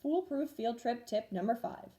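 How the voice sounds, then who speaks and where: Expressive, high-voiced woman reading a storybook. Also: moderate pace. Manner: expressive, clearly paced for children. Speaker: first grade teacher reading stories aloud. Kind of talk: children's storybook read-aloud.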